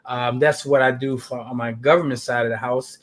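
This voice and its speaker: A man in his sixties from the Chicago area, a life coach, talking about himself in a relaxed, friendly way on a video call. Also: mid-range voice, a little fast. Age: 60s. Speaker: life coach and employment coordinator. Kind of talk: casual video call chat.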